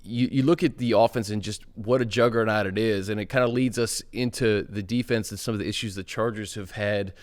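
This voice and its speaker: A man's voice: lowish voice, steady tone, brisk, hardly any pauses. A man hosting a casual sports talk show, chatting conversationally and steering the talk to the next segment. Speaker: show host.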